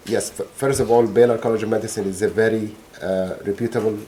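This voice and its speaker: A middle-aged man from Egypt, gives courtroom testimony. His voice sits on the lower side and has a mellow, unforced tone. He answers haltingly and pauses to find the right words. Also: fairly even intonation, a little hiss or hum. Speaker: witness.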